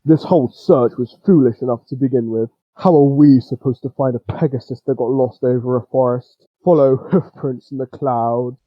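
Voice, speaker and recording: A man voicing a grumpy, sardonic old pony ranger for a fan fiction reading, gruff in manner. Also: mid-range voice, fairly even intonation, normal speed, hardly any pauses, dry recording, lo-fi sound, close to an everyday voice. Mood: scornful.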